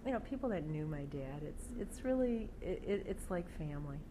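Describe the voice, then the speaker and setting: A soft-voiced older woman with a lowish pitch tells her story in an interview, pausing and restarting often. Steady background noise hums under her voice throughout. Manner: halting, with many fillers and restarts.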